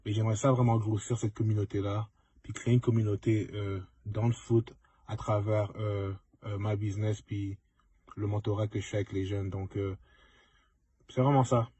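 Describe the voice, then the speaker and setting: Young man, reflective, husky, flat low voice. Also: normal speed, no echo. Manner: reflective, mixes in English words. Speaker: former student, pro football player. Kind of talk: casual testimonial to students.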